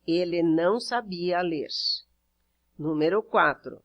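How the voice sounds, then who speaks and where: A woman teacher with a Brazilian Portuguese accent, walking through a Portuguese workbook exercise. She speaks at a slow, deliberate pace, in a somewhat low voice, explaining each answer one step at a time. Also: muffled recording. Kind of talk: reading out and correcting a workbook exercise.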